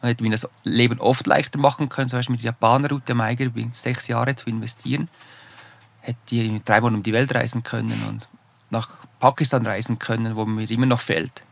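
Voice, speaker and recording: Swiss guy with a gravelly low rasp, forties, working hard at standard german, lots of little restarts. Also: little pitch movement, brisk. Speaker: guest, professional alpinist. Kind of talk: casual interview talk.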